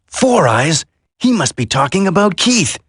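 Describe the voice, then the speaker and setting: Earnest, urgent soldier hero, animated delivery. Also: male voice, high-pitched, measured pace, breathy edge, no room sound, studio quality, exaggerated voice. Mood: grim, vengeful. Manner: dramatic, urgent. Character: earnest male special forces soldier, determined, worried for comrades. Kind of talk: video game voice acting.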